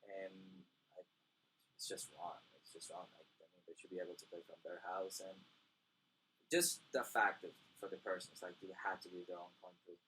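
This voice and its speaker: A man's voice: low-pitched, fairly even intonation, sharp tone, a little hiss or hum, low-quality recording. A young man from Costa Rica and Venezuela, a poker player chatting casually as an interview guest at home, rambling with lots of "like". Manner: fast, rambling, many fillers "like". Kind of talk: casual chat.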